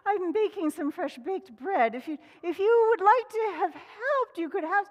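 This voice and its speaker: A woman voicing a friendly, bustling female hen baker in a storybook tale, high and sing-song. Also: expressive, unhurried, in a large hall, muffled recording. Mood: chirpy.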